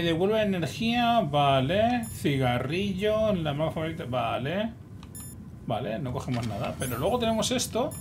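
A man with a Spain Spanish accent giving casual, excitable commentary while he plays a game. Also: sits high. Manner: chatty, excitable, talks to himself. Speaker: gameplay streamer. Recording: noisy recording.

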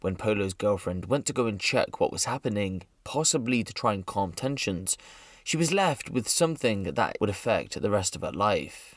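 Male true crime narrator, lowish pitch, scripted and serious delivery, dry close sound. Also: sing-song, normal speed, dry recording.

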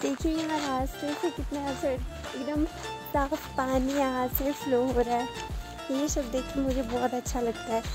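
A woman's voice: sits high, flat delivery, measured pace. A woman in her thirties filming a casual family travel vlog outdoors on a phone held at arm's length, chatting to viewers and pointing out the sights. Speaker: family vlogger. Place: outdoors on streets and in a hotel garden. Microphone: phone camera held at arm's length. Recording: background music over the voice.

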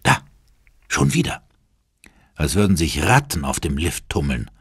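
A man's voice: a bass voice, big pitch swings, a little slow, slight background noise. A man narrating an audio drama in a dramatic, scripted style.

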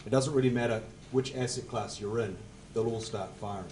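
A man in his forties answering on a conference panel at a table mic, measured and technical, his phrases fading at the ends. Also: mid-range voice, fairly even intonation, darkish tone, a little hiss or hum. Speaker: panelist, fund manager. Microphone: table mic on stand.

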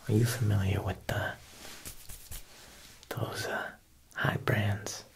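A young man playing a smooth, menacing Italian mob boss tailor in a whispered ASMR roleplay, coaxing and deliberate with veiled threat. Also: on the low side, monotone. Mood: smooth, boastful. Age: young adult. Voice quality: breathy whisper with creaky edges. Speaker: ASMR performer.

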